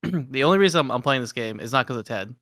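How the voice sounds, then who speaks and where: A man with a bright, forward voice chats informally as a podcast co-host. The sound is dry and close, with a mid-range pitch. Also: animated, fast, dry recording. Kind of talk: casual gaming podcast chat.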